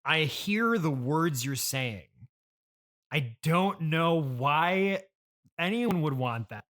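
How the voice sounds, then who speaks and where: A man's voice: on the high side, expressive, takes it slowly, fairly bright tone. A man hosting an improvised comedy interview as the exasperated straight man, stopping and restarting as he talks. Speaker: podcast host.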